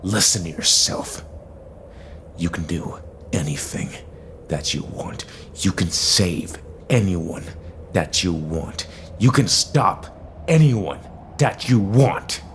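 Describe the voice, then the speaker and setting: An actor playing a bitter, stubborn, brooding male vigilante in an audio drama, with a low, gravelly voice and a terse, grim, halting delivery. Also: fairly even intonation, slight background noise, heavily acted. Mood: bitter, angry.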